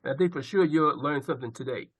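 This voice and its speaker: A man's voice: a little high, fast, breathy edge, no echo, low-quality recording. A man who is a college dean introduces a guest speaker in an approving way, with a few "uh" fillers.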